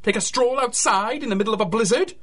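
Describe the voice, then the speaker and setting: A man's voice: extremely high pitch, fast, a bit bright. A man playing a haughty, impatient scientist with a pompous, imperious manner, in the style of 1930s radio drama. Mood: indignant. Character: haughty, impatient male scientist bullying a butler.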